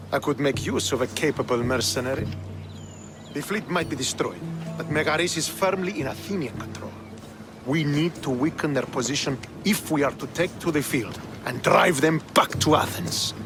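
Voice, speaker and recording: An actor playing a stern, proud young Spartan military commander in a video game, speaking formally and with earnest authority. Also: male voice, on the high side, animated, normal speed. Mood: stern, challenging. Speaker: video game character, army officer. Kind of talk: dramatic video game cutscene acting. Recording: game soundtrack music behind the voice.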